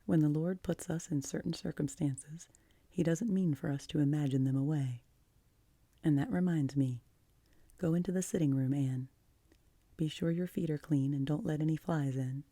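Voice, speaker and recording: Casting: woman to play a no-nonsense older guardian in a storybook, low and mellow, firm, curt, matter-of-fact. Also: moderate pace, slightly rough, no echo. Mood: grim. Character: stern, practical, unimaginative older guardian woman. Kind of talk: audiobook character voice.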